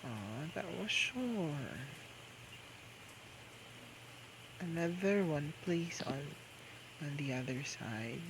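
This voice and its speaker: A drunk young boyfriend, acted by a man, clingy and playful in an intimate ASMR roleplay. His voice sits a little high and moves very slowly, slurring and coaxing as it stumbles along. The homemade recording has clear background noise. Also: animated. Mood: pleading, whiny. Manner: slurred, drawn-out, coaxing, halting drunken talk. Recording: noisy homemade microphone recording.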